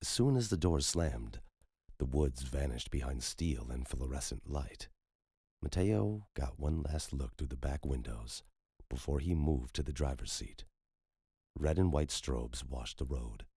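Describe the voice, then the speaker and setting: A man with an extremely deep voice narrates horror fiction. His tone is husky and rough, and the sound is very dry and close, like a scripted audiobook. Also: animated, a little slow, dark tone, no echo.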